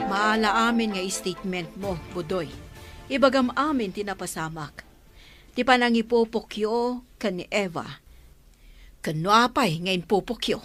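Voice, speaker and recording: A woman's voice: mid-range voice, moderate pace, a bit bright, faint music behind, lightly acted. Woman acting a firm, protective aunt in drama. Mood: firm, instructing. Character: stern, protective aunt taking charge against wrongdoers. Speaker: actress in an audio drama. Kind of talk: Ilocano radio drama acting.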